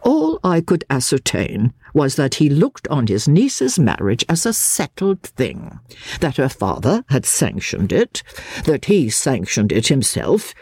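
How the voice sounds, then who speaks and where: A man narrates a classic novel in a formal, theatrical style. His voice is husky and creaky, and his pitch rises and falls dramatically across each phrase. The sound is close and dry. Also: huge pitch swings, a little slow, darkish tone, dry recording. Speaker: audiobook narrator reading as a lawyer.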